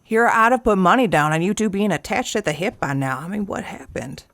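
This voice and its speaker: An actress playing a folksy, wryly affectionate small-town horse owner in an audio drama, coaxing and unhurried in manner. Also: female voice, medium pitch, lively intonation, a bit bright, dry recording. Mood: knowing, coaxing. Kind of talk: naturalistic audio drama acting.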